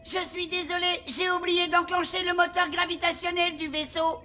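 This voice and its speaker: Very high-voiced little cartoon alien. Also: female voice, little pitch movement, moderate pace, sharp tone. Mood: matter-of-fact, helpful. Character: friendly little alien who loves jelly. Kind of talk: children's cartoon dub. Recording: music behind the voice.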